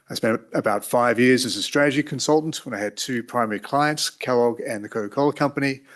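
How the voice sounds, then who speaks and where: A middle-aged man with an Australian accent formally introducing his background at a hearing, in a measured, orderly way. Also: on the low side, a bit bright. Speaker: applicant, company board chair.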